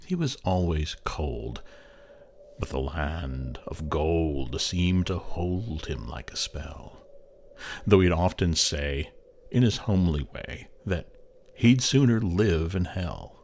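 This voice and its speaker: A man narrating a poem as a dramatic recitation, theatrical and measured, with long pauses. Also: sits low, expressive, vocal fry, a little mellow, slight background noise.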